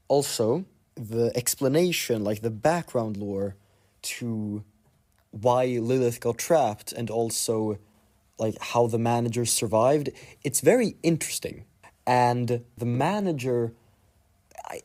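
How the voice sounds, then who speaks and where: A man with an average-pitched voice talks off the cuff in a listener message. His commentary is casual and rambling, as if thinking aloud. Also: dark tone.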